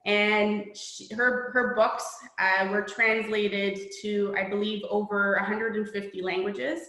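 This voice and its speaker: A woman's voice: mid-range voice, level tone, takes it slowly, sharp tone. A middle-aged woman author, born in Poland, reading her children's book aloud and then answering interview questions in an earnest, reflective way. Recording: echoey room.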